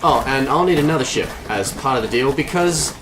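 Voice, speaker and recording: Male actor as wisecracking smuggler hero, medium pitch, lively intonation, self-assured, audio drama. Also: brisk, background noise, lightly acted. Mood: confident pitch.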